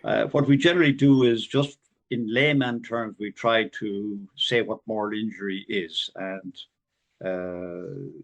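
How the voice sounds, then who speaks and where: A man's voice: on the low side, steady tone, measured pace. A man hosting a podcast from Ireland, talking in a rambling, informal way with many fillers. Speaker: podcast host. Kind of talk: podcast interview.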